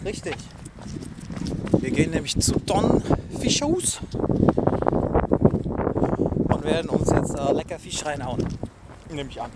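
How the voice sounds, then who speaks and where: A man's voice: on the high side, sing-song, slow pace, pauses often. A man in his thirties chatting and joking to a handheld camera on a road trip, partly in a car, partly outdoors. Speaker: vlogger. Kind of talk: casual travel vlog chat. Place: inside a car and outdoors on a parking lot. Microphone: handheld action camera. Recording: noisy car and outdoor recording. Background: car and road noise.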